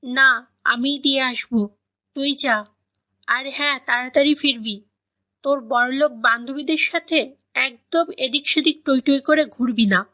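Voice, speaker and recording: A woman's voice: high voice, measured pace, bright, forceful tone. A woman voicing a harsh, resentful mother who snaps sarcastically at her daughter in an audio story. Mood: angry, scolding. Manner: snapping, sarcastic, scolding. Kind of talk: dramatized romantic audio story.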